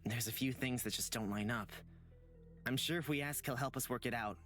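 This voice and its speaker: A man's voice: mid-range voice, brisk, a bit bright, soft background music, close to an everyday voice. A man voicing an earnest, timid young man in a visual novel, hesitant and easily flustered into stammering. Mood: thoughtful.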